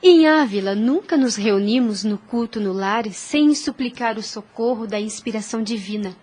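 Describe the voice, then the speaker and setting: Radio-play ingénue played by a woman: Brazilian, gentle, devout, earnest preacher, high and breathy. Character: gentle, devout young girl, wise religious teacher. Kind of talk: audio drama acting.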